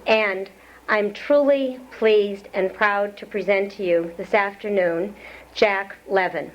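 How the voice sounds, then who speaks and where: A woman's voice: mid-range voice, fairly even intonation, slow, slight background noise. A woman acting as event host, introducing a guest speaker with personal asides before reading his credentials. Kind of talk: formal introduction. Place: community center hall. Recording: lo-fi 1992 lecture tape.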